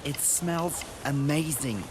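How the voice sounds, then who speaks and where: A man's voice: fairly high pitch, slow pace, noisy background. A man playing an earnest, wonder-struck boy traveler in a radio drama, speaking as if recording an audio diary. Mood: earnest, grateful. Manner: earnest, descriptive, full of wonder.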